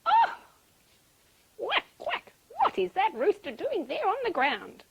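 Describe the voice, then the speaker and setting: A woman's voice: extremely high pitch, huge pitch swings, slow pace, vocal fry, highs cut off, pitched above the performer's own voice, exaggerated voice. A woman voicing a friendly, helpful small male duck in a children's story, quacking between short exclaiming phrases. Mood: startled.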